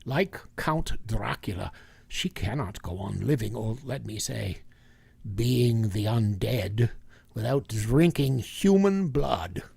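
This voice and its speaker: A man acts out a solemn older professor in a radio horror play. His voice is husky and deeply creaky, heard close and dry, as he explains things methodically and takes his time. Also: lively intonation, warm tone, dry recording, full character voice. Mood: grave, instructive. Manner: deliberate, hesitant, lecturing. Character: grave, methodical older male professor hunting vampires. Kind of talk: vintage radio drama acting.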